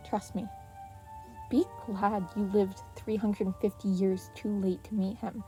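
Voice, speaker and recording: A woman voicing an earnest, excitable teenage boy hero who gets flustered, in an anime fan story reading. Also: mid-range voice, a little slow, faint music behind, natural voice. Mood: recounting, earnest. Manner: stammering when flustered.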